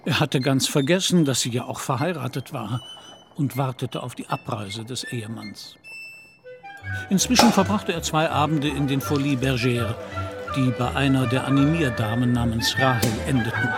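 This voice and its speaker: Mid-range male narrator, literary storytelling, measured, scripted radio drama, music underneath. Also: mid-range voice.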